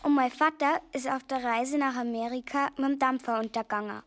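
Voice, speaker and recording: High-voiced naive country girl. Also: steady tone, fairly quick, a bit bright, no room sound. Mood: plain recounting. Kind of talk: radio drama monologue.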